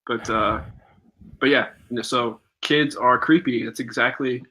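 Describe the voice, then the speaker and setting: Bright-voiced young man telling a story. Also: medium pitch, slightly rough, rough recording. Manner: relaxed, recounts stories with fillers and pauses. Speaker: podcast co-host. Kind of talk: casual live-stream chat and storytelling.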